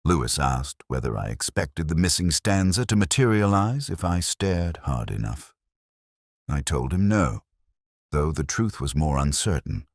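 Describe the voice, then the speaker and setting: A man reading scripted first-person detective fiction in a measured, brooding, literary manner. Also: a bass voice, sing-song, gravelly, dry recording, studio quality. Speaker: narrator.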